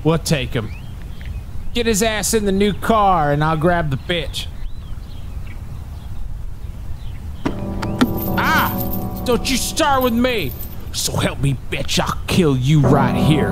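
A menacing outlaw brother who drawls his threats slowly and with swinging pitch, all folksy slang and sneers, as a man acts him out. His cruelty is mocking rather than loud. Music plays clearly behind him in this audio drama. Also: big pitch swings. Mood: threatening. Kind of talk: indie audio drama acting.

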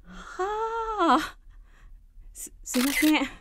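Comedy audio drama: nervous, courteous woman interviewer played by an actress, very high voice, apologetic and flustered. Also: expressive, extremely slow, background noise, exaggerated voice. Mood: polite, nervous. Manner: hesitant, deferential, nervous politeness. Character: polite, flustered woman interviewer, easily thrown. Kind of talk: comedic Japanese voice drama acting.

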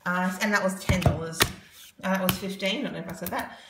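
A woman's voice: fairly low pitch, steady tone, fast talker, loud music behind the voice. Chatty Australian woman in an echoey kitchen. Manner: chatty, many ums, rambling price tallies. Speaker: vlogger. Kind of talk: casual grocery haul chat. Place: home kitchen, indoors. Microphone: phone camera held over the counter. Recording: echoey room with loud background noise. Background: husband and dog heard.

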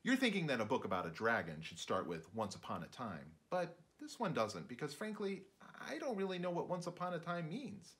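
A man plays a fussy little shrew telling a storybook tale. The delivery is very lively in pitch and swerves into chatty asides straight to the listener. The creature is a forgetful, conversational narrator. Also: brisk, hardly any pauses. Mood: chatty, playful. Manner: conversational, digressive, addresses the listener directly. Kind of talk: storybook narration, read aloud.

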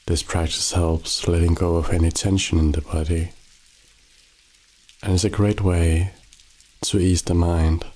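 A man with a very low, mellow voice leads a sleep meditation, speaking slowly and softly with a flat, even delivery. Rain patters steadily behind him. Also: rough recording. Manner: slow, soothing, calm instructions. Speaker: meditation guide. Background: rain sounds.

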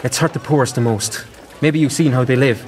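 An actor playing a gentle religious brother in a historical radio drama, politely and earnestly appealing for the poor. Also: male voice, medium pitch, fairly quick, lightly acted. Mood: earnest pleading.

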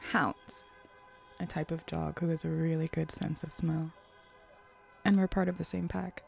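A woman voicing a flirty, sly female hellhound in a roleplay ASMR audio drama, teasing and seductive with breathy, whispery turns. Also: little pitch movement, unhurried, darkish tone, faint music behind, heavily acted. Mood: smug, curious. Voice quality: low, husky, creaky.

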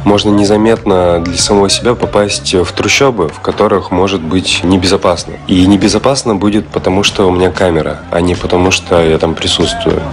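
A man's voice: deep voice, steady tone, a little fast, hardly any pauses, over music. A man reading a plain, matter-of-fact voice-over for a travel video. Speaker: voice-over translator. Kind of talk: scripted voice-over.

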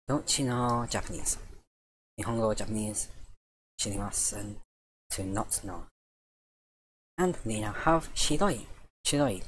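A man's voice: fairly low pitch, slight background noise, rough recording. A man teaching a casual vocabulary lesson over his screen, voice dry and tiring, easygoing and correcting himself as he goes. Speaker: Japanese language teacher.

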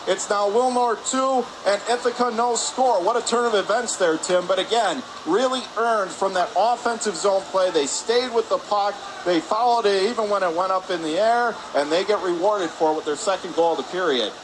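A man's voice: sits high, normal speed, few pauses. A man calling live hockey play-by-play for radio from an indoor rink. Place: spectator area of an indoor ice rink. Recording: noisy arena hum behind the voice.

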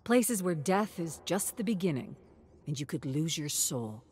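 Earnest female stage storyteller, played by a woman narrator: lowish voice, very measured, probing, faint noise behind. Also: very animated, darkish tone. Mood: intense, probing. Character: earnest young woman storyteller addressing an audience.

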